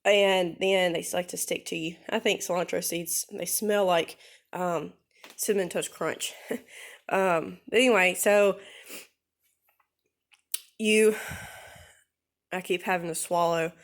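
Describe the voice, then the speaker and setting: A woman's voice: on the low side, fairly even intonation, slow, long pauses. Nasal woman getting over a cold. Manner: chatty, rambling, with many ums and self-corrections. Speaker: vlogger. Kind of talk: casual how-to demonstration.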